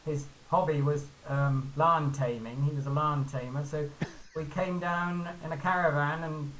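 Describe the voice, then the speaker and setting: A man from Glasgow telling a casual, rambling anecdote haltingly on a video call. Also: on the high side, fairly even intonation, fairly bright tone. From Glasgow, Scotland. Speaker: folk club member. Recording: hissy, noisy video call audio.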